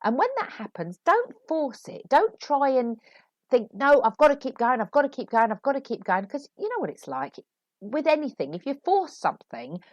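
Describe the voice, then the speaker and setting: A woman hosting a podcast and giving writing advice in a chatty, animated way, a little sniffly from a cold. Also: high-pitched, moderate pace, dry recording. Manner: chatty and animated, laughing asides. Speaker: podcast host and author. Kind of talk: solo podcast advice talk.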